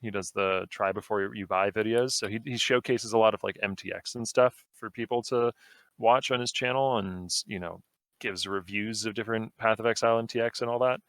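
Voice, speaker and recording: A young man with a low-set voice chats easily as a podcast guest, wandering through his thoughts with plenty of ums and likes. The sound is close and dry, with no room around it. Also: fairly quick, dry recording. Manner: relaxed, rambling, full of fillers. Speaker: guest streamer. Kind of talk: casual podcast conversation.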